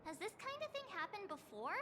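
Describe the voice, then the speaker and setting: A very high, bubbly girl. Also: brisk, background noise. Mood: perky. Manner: chirpy, expressive, easily flustered. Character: bubbly young girl companion, cheerful. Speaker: game character. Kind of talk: dubbed game dialogue. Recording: game voice with background music. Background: game music.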